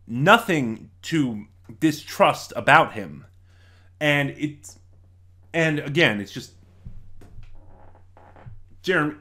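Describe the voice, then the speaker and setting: Man giving a halting, conversational review. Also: mid-range voice, fairly bright tone. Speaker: reviewer, video host. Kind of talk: unscripted review commentary, explaining a plot.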